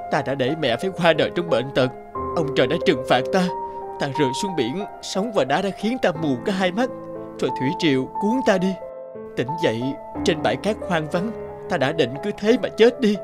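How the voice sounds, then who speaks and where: An earnest young peasant brother from a cartoon folk tale, acted by a man. The voice sits fairly high for a male and swings melodramatically up and down, full of devotion. Music plays clearly behind it. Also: lightly acted. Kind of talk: animated fairy tale dubbing. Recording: background music under the voice.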